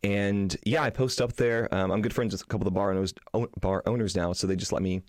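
Podcast guest, young man, slightly hoarse, quick and informal, recorded close and dry. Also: lowish voice, fairly even intonation, dry recording. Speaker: street magician, podcast guest. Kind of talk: casual conversational storytelling.

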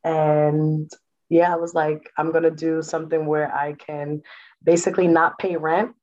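A woman's voice: low voice, level tone, measured pace, a little mellow. Chatty outgoing woman, dull lo-fi sound. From the Bronx, New York. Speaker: guest, Airbnb superhost. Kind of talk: casual podcast chat.

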